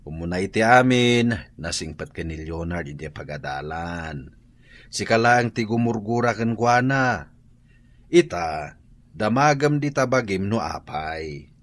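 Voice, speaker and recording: A stern, reproving husband and father for radio drama. His voice is low, recorded dry with little room sound. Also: lively intonation, a little slow, no echo.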